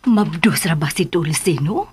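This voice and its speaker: A woman acting in a Cebuano radio drama from the Philippines as a jealous, scornful schemer, husky, emotional and pushy. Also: low voice, animated, measured pace, darkish tone, slight background noise. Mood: worried, confiding. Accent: Filipino, Cebuano.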